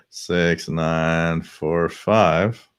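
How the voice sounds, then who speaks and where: Low-voiced guy hosting a radio live stream, slow and laid back, jokes around a lot, dry sound. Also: clean tone, no echo. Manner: jokey, laughs often, reads out frequencies. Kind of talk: casual live-stream chat.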